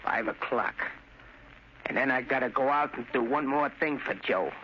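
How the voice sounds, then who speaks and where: A man's voice: medium pitch, moderate pace, close to an everyday voice. An actor playing a shell-shocked young killer devoted to his mother, simple and obedient, with plain uneducated speech, in old radio style. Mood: uneasy, intent. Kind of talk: 1930s radio acting. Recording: scratchy, muffled old radio recording.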